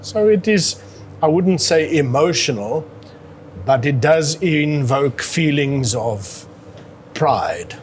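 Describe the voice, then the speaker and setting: A man from South Africa speaking English with an Afrikaans accent, unhurried and deliberate. He pauses and clears his throat between thoughts. A faint noise sits under the voice. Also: fairly high pitch, lively intonation. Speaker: interviewee. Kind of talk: measured interview answers.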